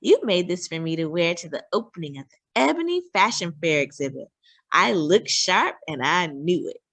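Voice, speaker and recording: A woman's voice: fairly low pitch, sing-song, normal speed, a bit bright, dry recording, full character voice. A woman voicing a proud, sassy lady of a storybook, emphatic and boastful. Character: proud, sassy grown lady showing off her fancy hat.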